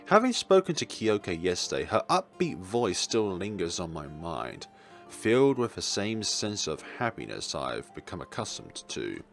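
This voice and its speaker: A man reading a visual novel story aloud in an expressive, dramatic way, as a let's player. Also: deep voice, moderate pace. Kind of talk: expressive read-aloud narration of game text. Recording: music playing behind the voice. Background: game background music.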